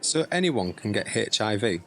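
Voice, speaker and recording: An actor playing a curious, concerned young nephew in an audio drama, talking in an inquisitive, sympathetic way. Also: male voice, on the low side, big pitch swings, normal speed, a bit bright. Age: young adult. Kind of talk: scripted audio drama dialogue.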